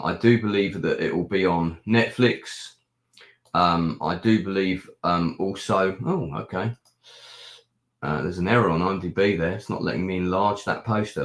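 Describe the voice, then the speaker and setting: A man with a croaky, ill-sounding voice, chatting casually through a film review with many pauses and fillers. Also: low voice. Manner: rambling with many ums and restarts. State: hoarse, ill with a sore throat. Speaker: film reviewer and channel host. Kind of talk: casual live-stream review chat. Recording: echoey room.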